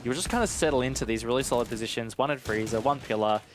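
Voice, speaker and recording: A man giving analytical esports commentary in a conversational, measured way. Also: medium pitch, music in the background.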